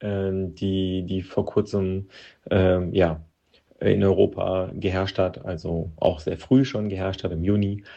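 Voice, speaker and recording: A man from Moldova whose first language is Russian, an interview guest talking hesitantly with many pauses and restarts. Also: deep voice, level tone, gravelly, warm tone, dull audio. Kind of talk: personal interview account.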